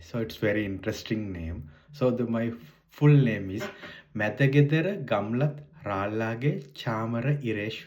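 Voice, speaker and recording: A man in his forties from Sri Lanka, a native Sinhala speaker talking in a Sri Lankan accent, speaking hesitantly in halting non-native English with many restarts in a casual monologue. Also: on the low side, a little mellow. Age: 40s. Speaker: vlogger.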